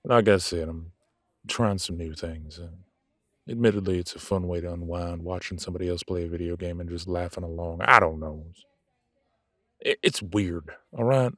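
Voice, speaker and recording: A man playing a proud, blunt werewolf in an audio drama, speaking haltingly as he grudgingly makes peace with a rival. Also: low voice, a bit bright, no echo, studio quality. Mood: awkward, conciliatory. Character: gruff proud male werewolf, reluctantly making peace with rival. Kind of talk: scripted audio roleplay drama.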